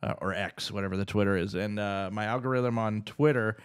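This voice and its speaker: A man co-hosting a podcast, tossing in dry quips and quick asides. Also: lowish voice, clear, resonant tone. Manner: dry quips and short interjections. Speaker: podcast co-host. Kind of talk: casual chat.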